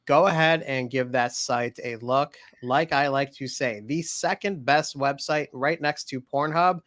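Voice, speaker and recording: A man's voice: mid-range voice, measured pace, fairly bright tone, dry recording. A man co-hosting a casual livestream chat, upbeat and joking as he plugs merch. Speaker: co-host.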